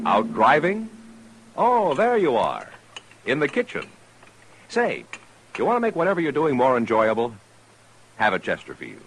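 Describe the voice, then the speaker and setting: Hugely animated American radio ad man. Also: medium pitch, breathy edge, rough recording. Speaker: commercial announcer. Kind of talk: 1950s radio cigarette advertisement.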